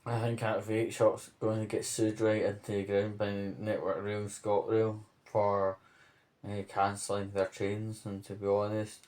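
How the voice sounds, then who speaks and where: A man giving off-the-cuff spoken commentary on local news, rambling, pausing mid-phrase and checking in with the listener. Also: on the low side, little pitch movement, darkish tone.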